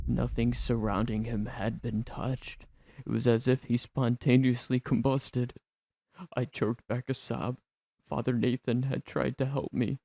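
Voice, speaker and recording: A man narrating a first-person horror story in a tense, dramatic manner with theatrical pauses. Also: mid-range voice, gravelly, mellow, no echo.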